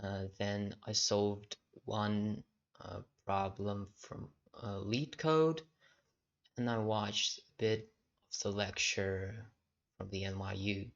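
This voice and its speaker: A young man casually walking through his own code, halting often with fillers and restarts. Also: on the low side, level tone. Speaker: programming diary vlogger. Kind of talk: casual screen-share walkthrough. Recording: dull lo-fi audio.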